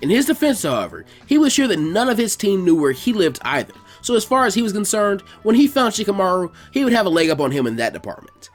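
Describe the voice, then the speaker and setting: A man reading a story aloud as an expressive amateur narrator. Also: sits high, fast, few pauses. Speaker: fan fiction reader. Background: music.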